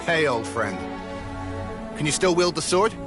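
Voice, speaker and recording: A man's voice: a little high, animated, measured pace, loud music behind the voice. A man voicing an ageless male yokai greeting an old friend. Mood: friendly.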